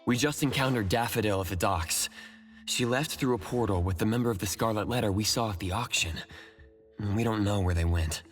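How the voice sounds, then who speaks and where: A man voicing an earnest, observant, even-tempered young protagonist in a video game. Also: fairly low pitch, brisk, faint music behind, lightly acted. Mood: thoughtful.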